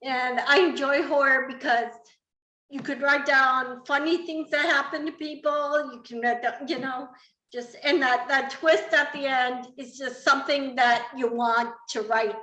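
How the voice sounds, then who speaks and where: A woman with a fairly high voice talks casually about herself as a writer on a podcast. Her pace is unhurried and the room is echoey. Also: steady tone, sharp tone. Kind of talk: casual personal account.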